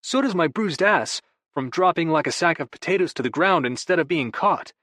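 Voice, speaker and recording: A man's voice: a little high, expressive, normal speed, a bit bright, no room sound, studio quality, pitched above the performer's own voice. A man voicing a dramatic young man who whines and complains in a theatrical way. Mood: whiny. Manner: whiny, theatrical. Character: whiny, dramatic young man, playful brother.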